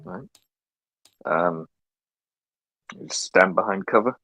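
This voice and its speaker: A man's voice: low voice, very slow, sharp tone, no room sound. A man from Wales playing a tabletop game, talking hesitantly with many restarts. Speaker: player. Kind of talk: tabletop game play over voice chat.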